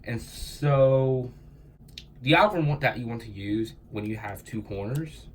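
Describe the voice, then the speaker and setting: A man's voice: mid-range voice, a little slow, slight background noise. A young man with a stuffy, congested voice from a cold, casually explaining puzzle steps in a loose, rambling way. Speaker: cubing tutorial host.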